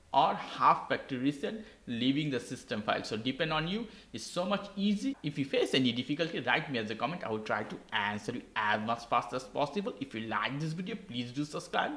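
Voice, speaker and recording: Man guiding a laptop reset, lively voice. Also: a little high, roomy sound. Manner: non-native English, simple phrasing, patient guiding. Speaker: tech tutorial host. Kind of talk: step-by-step tutorial. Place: indoor desk.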